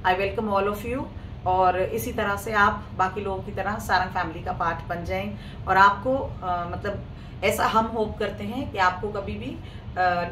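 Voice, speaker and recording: A woman with a hoarse throat hosting a live clothing sale, chatting casually with viewers in Hindi mixed with English. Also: medium pitch, fairly bright tone. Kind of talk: casual live-stream sales talk. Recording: echoey room, mic at a distance, faint noise.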